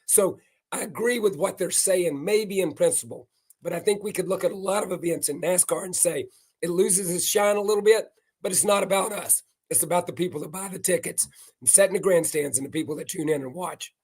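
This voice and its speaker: Hoarse older male racing analyst, gravelly and raspy, folksy and emphatic. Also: sits high, a little fast, darkish tone. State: hoarse, losing his voice. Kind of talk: live post-race analysis.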